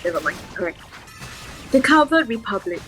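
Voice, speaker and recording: Mid-pitched woman co-host, teasing, reads aloud and stumbles, faint game music behind. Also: sing-song, normal speed, a bit bright. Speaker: co-streamer. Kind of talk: casual chat and reading a story aloud.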